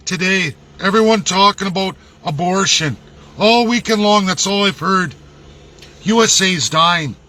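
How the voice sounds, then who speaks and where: Male political vlogger, Canadian accent, high forceful voice, emphatic and indignant, unscripted, swears freely. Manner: blunt, indignant, emphatic, profane. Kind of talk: unscripted political rant to camera. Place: laundromat.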